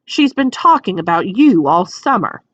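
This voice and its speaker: A woman's voice: medium pitch, sing-song, moderate pace, fairly bright tone, dry recording, muffled recording. A woman voicing a young boy wizard in a storybook, plain and muttering, a loyal friend.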